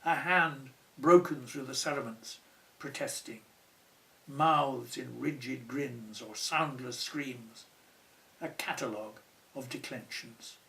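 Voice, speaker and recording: A man recites a poem in the voice of a proud, secretive dead pharaoh speaking from his tomb, in a measured and solemn way. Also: mid-range voice, bright, forceful tone. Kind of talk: poetry recitation. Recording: voice somewhat distant from the mic.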